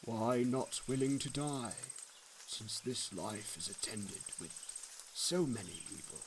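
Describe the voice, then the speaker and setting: A man voicing a calm, solemn evangelist who asks grave questions in archaic language, in a dramatic story reading. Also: medium pitch, slow, noisy background.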